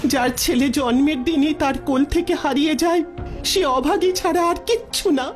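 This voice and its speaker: A woman's voice: high voice, normal speed, natural voice. A woman playing a sorrowful, resigned queen and mother, plaintive and melodramatic, in a fairy-tale audio story. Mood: sorrowful, shocked. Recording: music under the voice.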